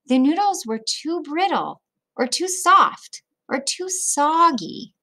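A middle-aged woman reading a picture book aloud to children like a teacher, with expressive, deliberate phrasing. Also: sits high. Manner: deliberate phrasing with explanatory asides to young listeners.

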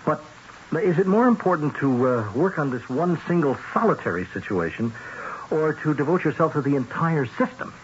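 An actor playing a persuasive, hard-nosed political fixer in a 1970s radio drama, glib and pressing. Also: male voice, fairly high pitch, animated, normal speed, close to an everyday voice. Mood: coaxing. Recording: dull, hissy 1970s radio broadcast.

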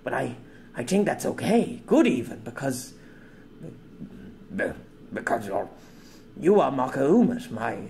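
A man's voice: medium pitch, big pitch swings, a little slow, creaky, pauses often, a little mellow, slight background noise. A man voicing a lonely, reclusive man who pleads while crying and stammering. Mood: earnest. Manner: desperate, stammering.

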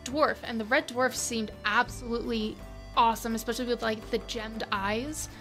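A woman with a fairly high voice speaks in a casual, conversational way as an interview guest. Her delivery is enthusiastic and expressive, with music playing behind her. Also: moderate pace. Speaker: guest tabletop role-playing player.

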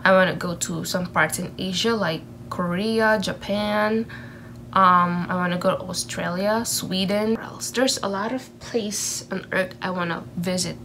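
A young woman from the Philippines chatting through a get-to-know-me tag video in her bedroom, unhurried and full of "um"s and "you know"s. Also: on the low side, fairly even intonation, a bit bright, slight background noise. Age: young adult. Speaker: vlogger. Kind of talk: casual question-and-answer tag video. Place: bedroom at home. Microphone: camera in front at desk level.

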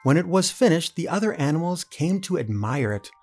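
Guy reading a kids picture book, bouncy lively voice, soft music behind. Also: a little high, a little fast. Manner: animated, playful voices for characters. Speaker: puppet show host reading aloud. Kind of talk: children's read-aloud.

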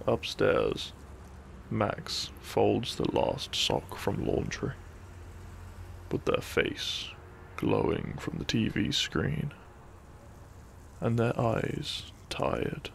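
A man narrating a gentle bedtime story in ASMR style, soft, breathy and soothing, often close to a whisper. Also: on the low side, a little hiss or hum. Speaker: sleep-aid audio narrator.

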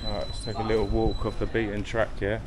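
A man's voice: lowish voice, completely flat delivery, quick pace, heavy background noise. Slangy London man at a night market. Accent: London English. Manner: swearing, slangy, chatty. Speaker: travel vlogger. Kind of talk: casual vlog chat. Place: busy night market street outdoors. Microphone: action camera held at arm's length.

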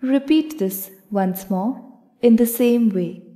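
Casting: female yoga nidra guide, calm and measured, unhurried delivery, soft-edged relaxed tone. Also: a little high. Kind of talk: guided meditation narration.

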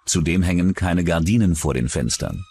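A man's voice: deep voice, normal speed, darkish tone, slightly rough, faint music behind. A man narrating a scripted true-crime documentary as its narrator.

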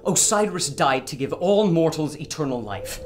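An actor playing an earnest, learned doctor who makes peace, speaking dramatically in an audio adventure. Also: male voice, fairly high pitch, animated, normal speed, slight background noise, natural voice. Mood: earnest, persuading. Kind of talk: radio adventure drama acting.

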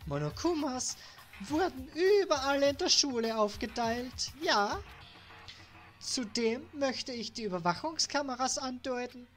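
A woman's voice: high voice, expressive, a little slow, soft background music, pitched above the performer's own voice. A woman with a Styrian Austrian accent voicing a mischievous male robot teddy bear headmaster, gleeful and drawn out.

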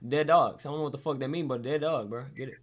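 A man's voice: mid-range voice, quick pace. A young man streaming video chats with strangers, talking in laid-back slang and teasing. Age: young adult. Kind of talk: casual video chat. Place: bedroom with purple lights.

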